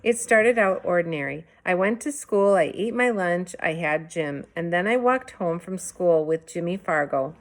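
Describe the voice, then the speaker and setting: A woman teacher reading a storybook aloud. Her voice sits a little low for a woman, and her narration is expressive. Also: normal speed, hardly any pauses.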